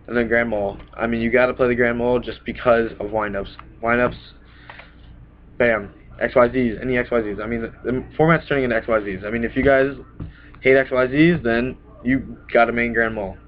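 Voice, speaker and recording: A man's voice: mid-range voice, slight background noise. A young man getting over a cold, casually rambling through a card deck to a webcam in a small room, often punctuating with "bam". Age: young adult. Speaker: card game vlogger. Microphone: webcam at desk. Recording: dull lo-fi webcam audio.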